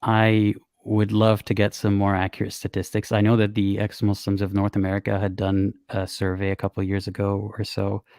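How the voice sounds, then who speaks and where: A man from the Middle East, a native Arabic speaker, hosting a casual call-in livestream in a calm, even-tempered way. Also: lowish voice, fairly quick, few pauses, no room sound, clean studio sound. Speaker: livestream host.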